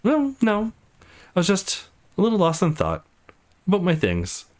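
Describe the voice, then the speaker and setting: A man's voice: high voice, wildly swooping pitch, normal speed, voice pushed up. A man voicing an upbeat, friendly young protagonist in a visual novel, playful and coaxing. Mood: warm.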